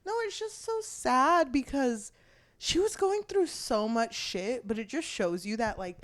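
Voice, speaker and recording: A woman co-hosting a podcast, chatting casually about a book, animated and giggly, swearing freely as she acts out quotes. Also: on the high side, unhurried, dry recording. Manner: animated retelling with acted-out quotes. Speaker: podcast co-host.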